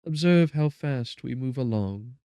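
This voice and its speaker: A tender young bride from a gothic audiobook, acted out by a man, her voice lifted well up in pitch. She speaks softly and earnestly in old-fashioned phrases, gently reassuring, with a close, dry sound. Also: animated, measured pace, clean tone, dry recording. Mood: tender, hopeful. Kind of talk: dramatic audiobook character voice.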